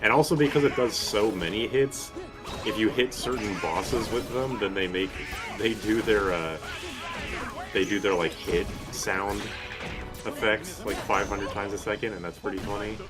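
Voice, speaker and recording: Low-voiced man casually streaming, game music behind. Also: moderate pace. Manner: casual, rambling, profane. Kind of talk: casual gameplay commentary chat. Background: video game music.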